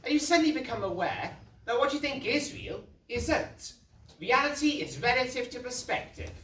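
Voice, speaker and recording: A woman's voice: sits high, big pitch swings, moderate pace. Woman playing naive, echoey pantomime Otto. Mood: musing. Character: Otto, naive, musing, hesitant pantomime character. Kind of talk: stage pantomime acting. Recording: echoey stage, quiet, distant mic.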